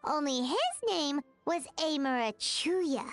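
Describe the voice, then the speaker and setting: A woman voicing a tiny, childlike fairy companion in an animated video game, bubbly, bossy and excitable, with a squeaky voice. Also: slow, faint music behind, full character voice. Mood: curious. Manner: excitable, exclaiming, chatty.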